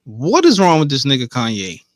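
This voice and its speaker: A man hosting a casual podcast, talking loud and projecting, full of slang and swearing. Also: medium pitch, wildly swooping pitch, a little fast, dry recording, clean studio sound. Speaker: podcast host. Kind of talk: casual chat.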